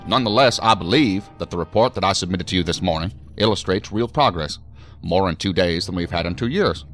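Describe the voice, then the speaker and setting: A weary male assistant director in a science fiction audio drama. His voice is very low, with a dry, wry, measured and articulate manner. Faint music sits behind him. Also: fairly bright tone. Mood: measured, defensive.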